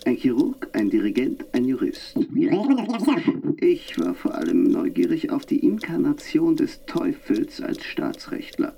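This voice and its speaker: A man's voice: mid-range voice, sing-song, unhurried, creaky, mellow, husky, full character voice. An actor playing a vain, urbane stage star and manor host in a radio play, theatrical and savoring his words. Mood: wry, reflective. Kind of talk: radio play acting and reflective monologue.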